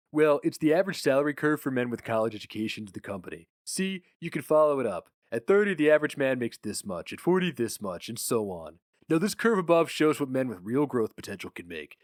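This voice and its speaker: A man performs a self-important office boss in his thirties for a dramatic story reading. He explains things briskly and with condescension. His pitch is raised and the sound is dry. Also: no echo, close to an everyday voice. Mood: condescending. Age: 30s.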